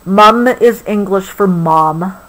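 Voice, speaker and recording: A woman reads a picture book aloud to a child, gently adding explanations as she goes. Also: medium pitch, takes it slowly, low-quality recording. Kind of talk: read-aloud storybook with explanatory asides.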